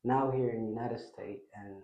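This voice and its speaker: A man's voice: medium pitch, fairly even intonation, normal speed, warm tone, roomy sound, highs cut off. A man from Ethiopia who grew up in Kenya, a guest telling his life story in a reflective way. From Ethiopia, raised in Kenya. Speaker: interview guest.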